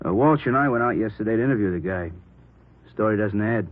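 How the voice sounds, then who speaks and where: An actor playing an earnest, suspicious police detective in a 1940s radio drama, talking in informal, clipped phrases. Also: male voice, on the low side, fast talker, narrow, tinny audio, lightly acted. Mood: earnest report. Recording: thin, band-limited old radio recording.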